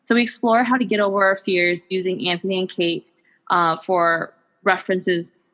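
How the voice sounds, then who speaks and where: A woman's voice: on the low side, steady tone, normal speed, fairly bright tone, dull audio. Gushing woman guest from California. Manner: gushing, many "um" fillers. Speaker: podcast guest. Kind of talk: casual chat.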